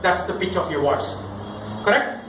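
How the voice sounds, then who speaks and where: High-pitched man teaching in an echoey room. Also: animated, normal speed. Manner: theatrical, emphatic, questioning delivery with demonstrations. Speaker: workshop speaker, voice trainer. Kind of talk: interactive public-speaking lesson to a group. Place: indoor session room with audience. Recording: echoey room, distant mic, background noise.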